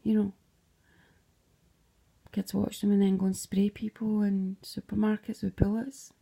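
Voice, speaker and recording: A woman's voice: medium pitch, little pitch movement, unhurried, creaky, dark tone, low-quality recording. A Scottish woman casually retelling a dream, rambling, slipping into whispered asides and swearing freely.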